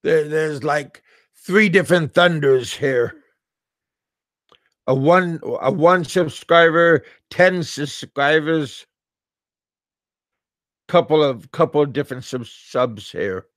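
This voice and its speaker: A man from Alaska hosts a relaxed livestream chat and talks slowly. He stumbles and repeats himself as he answers viewers, in a slightly raised voice. The sound is dry and close. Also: a little high, dry recording. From Alaska, USA. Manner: halting, repeats words and restarts often. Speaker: livestream host. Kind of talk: casual live-chat talk reading viewer comments.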